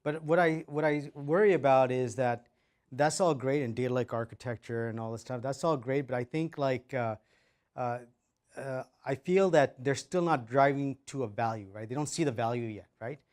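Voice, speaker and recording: A man's voice: mid-range voice, moderate pace, darkish tone. A man presenting at a workshop and answering questions, tagging his sentences with "right?" and "like". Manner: filler-heavy, keeps adding "right?". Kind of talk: conference Q&A.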